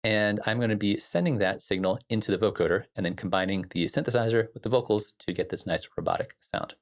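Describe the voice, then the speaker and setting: A man with a fairly low voice and a lot of vocal fry walks through music software as an instructor. His delivery is informal and explanatory, like a casual tutorial. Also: fast.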